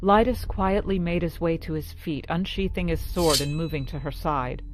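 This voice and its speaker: A woman storyteller reading scripted fantasy narration in a measured, dramatic way. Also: on the low side, low-quality recording. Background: music.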